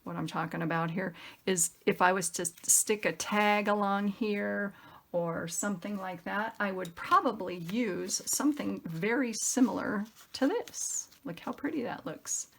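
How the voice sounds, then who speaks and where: Slow, creaky-voiced woman, upbeat crafter. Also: medium pitch, fairly bright tone. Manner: upbeat, effusive, thinks aloud. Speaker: craft tutorial host. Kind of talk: casual chatty craft demonstration.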